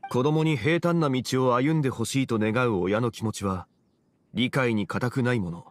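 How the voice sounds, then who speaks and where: A man playing a composed, wise young gentleman in an anime game, speaking in a formal, measured way. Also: mid-range voice, close to an everyday voice. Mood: thoughtful, reassuring. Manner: formal, measured, archaic phrasing.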